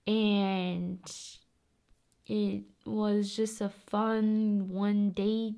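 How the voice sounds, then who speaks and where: A woman speaking in a soft, breathy, often whispery voice, chatting gently to viewers in an ASMR style. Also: mid-range voice. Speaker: ASMR video creator and host.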